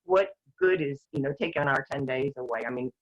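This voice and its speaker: An older woman talking earnestly as a guest, rambling with restarts, her voice going hoarse. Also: very deep voice, huge pitch swings, a little fast. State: losing her voice, clearing her throat. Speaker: guest activist. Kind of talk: casual talk-show discussion. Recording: dull lo-fi sound.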